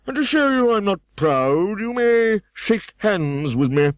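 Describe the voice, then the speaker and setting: A man's voice: sits high, very animated, unhurried, smooth, dry recording, clean studio sound, voice pushed up. A man voicing a pompous, easily offended egg who sits on a wall and boasts grandly. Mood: grandiose.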